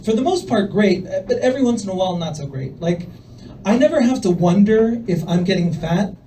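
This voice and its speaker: A young man from El Salvador doing a stand-up set in a comedy club, with a soft voice and a hesitant, deadpan delivery full of fillers. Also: high voice, a little hiss or hum. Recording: voice from far off the mic.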